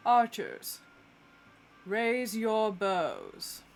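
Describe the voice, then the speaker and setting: A woman's voice: medium pitch, huge pitch swings, very slow, faint music behind, full character voice. A woman voicing a dignified festival speaker who gives solemn, commanding orders to archers in a tabletop game.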